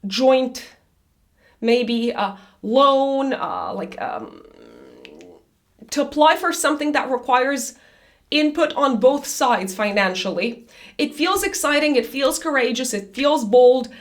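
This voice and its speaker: A woman reading a monthly horoscope in a chatty, loose way, her voice raspy after an illness. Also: high-pitched, very animated, slow pace. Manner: chatty, loose, with many fillers and restarts. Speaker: astrologer.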